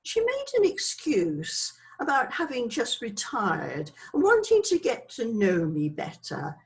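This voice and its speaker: Scatty older British woman, wildly expressive. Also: mid-range voice, vocal fry, exaggerated voice. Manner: rambling, frivolous, digressive, self-excusing. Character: chatty, scatty older Englishwoman named Isabella, reminiscing. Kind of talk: dramatic monologue, theatrical storytelling.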